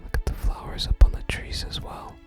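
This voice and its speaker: Someone whispers softly and gently through an ASMR video game playthrough, making unhurried remarks. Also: music in the background. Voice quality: unvoiced whisper. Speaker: game streamer.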